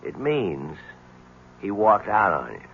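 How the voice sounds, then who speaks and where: Pushy reporter guy from an old radio play, lively low voice, muffled and hissy. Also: creaky, heavily acted. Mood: blunt. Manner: probing, quick questions with stammered repeats. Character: curious male newspaper reporter, persistent. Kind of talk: 1970s radio drama acting.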